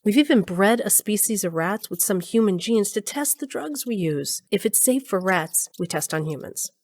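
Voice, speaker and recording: An actress playing a pragmatic, earnest research scientist in an audio drama, reasoning and explaining. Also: female voice, medium pitch, lively intonation, moderate pace, darkish tone. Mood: earnest, firm.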